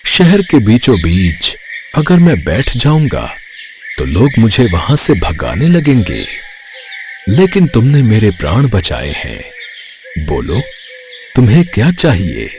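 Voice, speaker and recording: A man voicing a kindly old forest hermit in a Hindi moral-story cartoon, speaking in a solemn, measured manner. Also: fairly low pitch, wildly swooping pitch, music in the background, full character voice. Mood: grateful, solemn.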